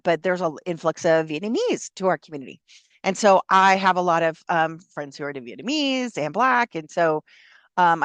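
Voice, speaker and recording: Chatty, encouraging woman instructor, lower voice. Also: dry recording. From Southern California. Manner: chatty, encouraging, lots of fillers. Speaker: college instructor. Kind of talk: casual classroom explanation.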